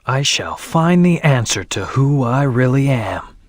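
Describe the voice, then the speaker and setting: A man's voice: mid-range voice, animated, measured pace, no echo, studio quality. A man voicing a serious young hedgehog antihero in a video game, intense and terse. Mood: brooding.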